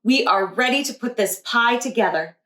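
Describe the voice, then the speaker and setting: Woman reading as a lively, kindly grandmother, highish voice. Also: moderate pace, roomy sound.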